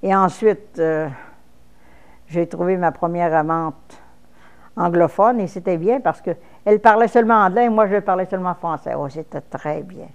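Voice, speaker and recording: A woman's voice: lowish voice, moderate pace, highs cut off. A woman in her fifties from Quebec with a Québécois French accent, speaking frankly in a personal interview, with false starts. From Quebec, Canada.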